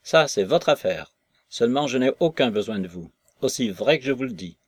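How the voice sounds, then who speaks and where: A man voicing a bitter, drunk young woman prisoner who talks in a scornful, sharp-tongued way. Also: on the low side, expressive, normal speed, no room sound.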